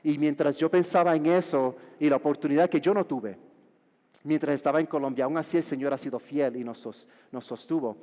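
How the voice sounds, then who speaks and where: Puerto rican guy in his 40s preaching, church hall reverb, stops and restarts a lot. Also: fairly high pitch. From Puerto Rico. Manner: warm joking asides, halting restarts. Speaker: pastor. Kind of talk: sermon.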